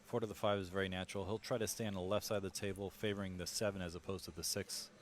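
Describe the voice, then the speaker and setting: Low-voiced analytical pool commentator. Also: male voice, flat delivery, racing. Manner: analytical, explains shot choices to viewers. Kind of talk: live sports commentary.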